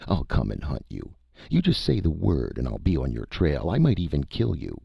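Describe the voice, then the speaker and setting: A man's voice: a bass voice, huge pitch swings, moderate pace, gravelly, husky, no room sound, dull audio, full character voice. A man playing a stubborn, defiant hunter in an audiobook, speaking in a sardonic, taunting way. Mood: gleeful taunting. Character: Duncan, stubborn male hunter, defiant and mocking. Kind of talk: audiobook character voice.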